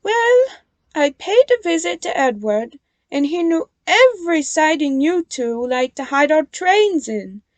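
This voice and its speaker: A clever female engine who speaks in a knowing, teasing way, put on by a woman reading a storybook. Her voice sits high and moves a lot. The sound is dry and close. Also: animated, a little slow, no room sound.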